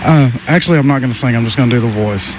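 A laid-back man in his twenties answering with easy jokes in a mid-range voice. Traffic and street noise are clearly heard behind him on a dull, lo-fi recording. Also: medium pitch, very fast. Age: 20s. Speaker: interviewee. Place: outdoors on a city street.

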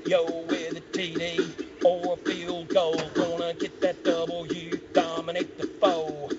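Man rapping a team anthem slowly and evenly over a beat on a dull, lo-fi recording. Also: fairly high pitch, completely flat delivery. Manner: chanting rhymes over a beat. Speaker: rapper. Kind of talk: rapping a sports team song. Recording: lo-fi song track with loud music.

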